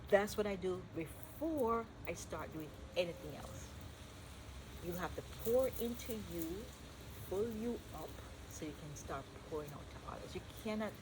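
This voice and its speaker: A woman's voice: on the low side, lively intonation, moderate pace, noisy background. A middle-aged Jamaican woman with a Jamaican accent, reading self-help tips aloud and chatting about them, stopping now and then to correct herself. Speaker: vlogger.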